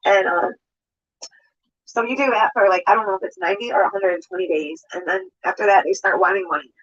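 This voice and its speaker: A woman's voice: mid-range voice, fairly even intonation, quick pace. A woman rambling hesitantly in a casual vlog, with many fillers. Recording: muffled lo-fi clip.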